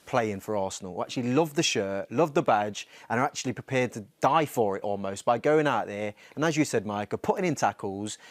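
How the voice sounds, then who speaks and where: A man's voice: mid-range voice, lively intonation, fairly quick, few pauses, dry recording. A man speaking as a football pundit in a broadcast clip, talking emphatically and passionately. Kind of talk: broadcast sports commentary.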